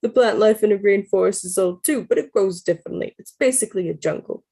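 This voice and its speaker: A woman's voice: medium pitch, fast, dark tone, lightly acted. A woman putting on a Brooklyn accent to voice a fit, friendly man in his mid-forties, explaining things in a chatty way while reading a novel aloud to friends. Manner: chatty and explaining, with playful laughs breaking through.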